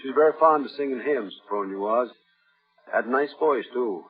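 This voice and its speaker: A man acting a creepy mad husband in a 1950s radio thriller, folksy, possessive and eerily calm. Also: mid-range voice, brisk, lightly acted. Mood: eerily hospitable. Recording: muffled old radio recording, loud music behind.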